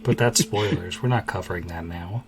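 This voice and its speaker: A man's voice: low-pitched, a little fast, vocal fry. A man chatting casually as the game master on a podcast panel. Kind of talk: casual chat.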